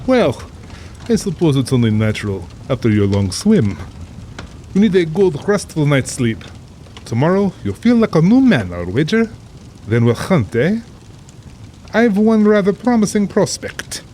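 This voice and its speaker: An actor playing a cultured, genially menacing general and big-game hunter in a dramatized audiobook, genteel, theatrical and condescending. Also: male voice, fairly high pitch, big pitch swings, unhurried, warm tone, slight background noise. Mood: smugly genial.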